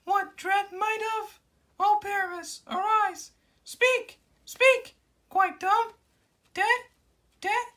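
A lovelorn young maiden in a puppet play. The voice is piercingly high and drags slowly through Shakespearean verse. The delivery is overwrought and declamatory. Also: female voice, steady tone, bright, forceful tone, distant mic, exaggerated voice. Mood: grieving. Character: lovelorn melodramatic maiden Thisbe, a sock puppet.

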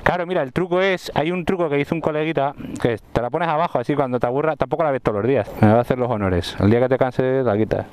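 Young madrid biker dude clowning around, slangy and jokey, outdoors w faint background hum. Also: male voice, mid-range voice, fast talker, few pauses, a little mellow. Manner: joking, slangy, showman-like banter. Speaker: visiting fellow motovlogger. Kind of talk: casual chat among friends. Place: outdoor gravel parking lot by the water.

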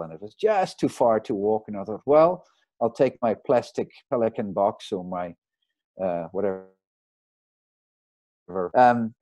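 A man, a sailing photographer, giving a relaxed, rambling slideshow talk over a video call. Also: lowish voice, no room sound. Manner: rambling, relaxed, with fillers and restarts. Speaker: marine photographer giving a lecture. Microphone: video call mic.

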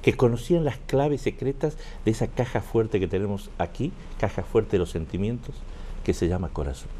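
A man hosting a TV interview in a wood-panelled studio, asking flowery questions and giving quick agreements. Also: lowish voice, mellow, slight background noise.

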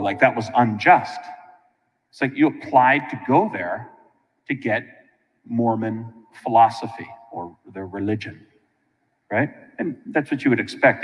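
American priest preaching in a reverberant church. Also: male voice, mid-range voice, dull audio. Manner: colloquial and animated, with frequent asides to listeners. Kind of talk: informal conversational sermon. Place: large church sanctuary, indoors.

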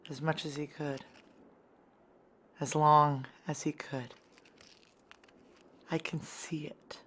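An actress playing a fierce, grieving narrator in a sci-fi audio drama, low-voiced, deliberate and intense. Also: female voice, little pitch movement, a bit bright, a little hiss or hum. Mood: hushed, intense. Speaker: lead actor and narrator.

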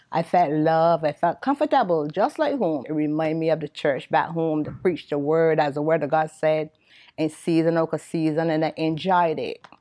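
A woman's voice: low voice, a little mellow, dry recording. An older woman from Barbados, earnestly recalling how she joined her church, in an interview in a church sanctuary. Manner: earnest, heartfelt. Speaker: church member.

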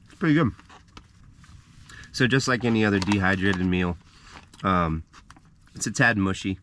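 A man with a deep, low voice chats easily to the camera as he cooks in a parked van. He talks step by step in a relaxed vlog style. A faint hiss of background noise sits under the voice. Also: moderate pace. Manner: relaxed, chatty, walks through each step. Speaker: travel vlogger. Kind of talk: casual vlog narration to camera. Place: inside a parked van, small enclosed space. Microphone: camera mounted in the vehicle.

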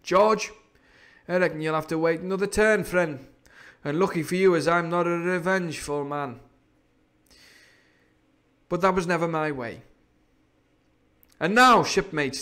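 A man voicing a swaggering, sardonic pirate sea cook in a storybook reading, with blustering, commanding talk and rough sailor's speech. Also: sits high, bright, forceful tone, pitched above the performer's own voice.

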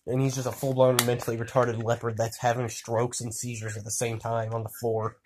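A man telling an improvised story to friends in a casual, crude and excitable way, repeating himself. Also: medium pitch, brisk. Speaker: amateur storyteller. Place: indoors at home. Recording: noisy amateur handheld recording, clicks.

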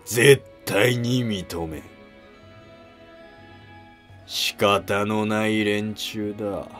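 A man's voice: fairly low pitch, steady tone, drawn-out words, a bit bright, soft background music. A man voicing a haughty, defiant warlord of ancient Japan in a historical drama, with blunt, commanding archaic speech. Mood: stern command.

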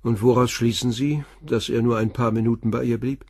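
An actor playing a calm police inspector who asks short, probing questions in a radio drama. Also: male voice, mid-range voice, brisk, dry recording, studio quality, lightly acted. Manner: brief, quiet, probing questions. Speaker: detective questioning a witness.

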